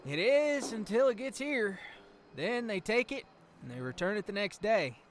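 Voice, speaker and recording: Man acting a high-pitched, agitated trucker. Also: very animated, moderate pace, a little hiss or hum. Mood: resigned. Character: tense, resigned truck driver man. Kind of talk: audio drama acting.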